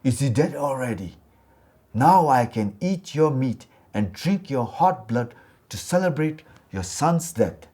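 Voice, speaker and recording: A man's voice: mid-range voice, animated, measured pace, mellow. A man voicing a sinister, gloating ghost who schemes to kill in a folktale. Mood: gloating, excited. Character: menacing bloodthirsty male ghost, scheming to kill. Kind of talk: folktale storytelling.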